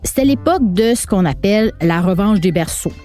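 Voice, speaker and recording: Woman narrator, mellow dark tone, measured doc read, soft music under. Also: mid-range voice, animated. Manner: measured, documentary tone. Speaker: podcast narrator. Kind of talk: scripted narration.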